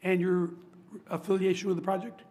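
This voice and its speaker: A man chairing a public commission meeting, hoarse from laryngitis, running votes in a steady, polite, procedural way. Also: high voice, brisk. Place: council chamber.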